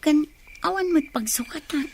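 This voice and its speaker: A woman's voice: high-pitched, animated, moderate pace, gravelly, a little mellow, a little hiss or hum. A woman playing an earnest young daughter who pleads with her family, in audio drama dialogue. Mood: quiet, pleading.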